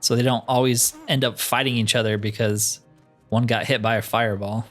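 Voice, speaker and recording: A man's voice: mid-range voice, level tone, fairly quick, a bit bright. A man giving casual, wry video game commentary, thinking aloud as he plays. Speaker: gaming video host. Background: game music.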